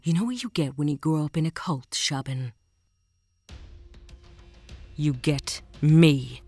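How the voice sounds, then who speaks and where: A woman's voice: sits low, slow, a little mellow, slightly rough, no room sound, heavily acted. A woman playing a tough, enigmatic exile in an audio drama, with a blunt, defiant, matter-of-fact manner. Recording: music under the voice.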